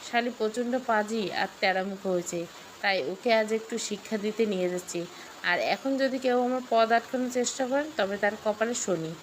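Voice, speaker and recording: A woman's voice: medium pitch, moderate pace, a little hiss or hum, lightly acted, low-quality recording. A woman voicing a stern, possessive, hot-tempered husband in a storybook, speaking threateningly. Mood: furious. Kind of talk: storybook dialogue.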